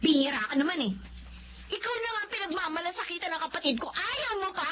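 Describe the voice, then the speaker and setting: A woman's voice: extremely high pitch, lively intonation, fast talker, fairly bright tone. A woman playing a pushy, money-minded young wife and mother in a Filipino radio drama, easily exasperated. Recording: muffled, clearly noisy radio drama audio.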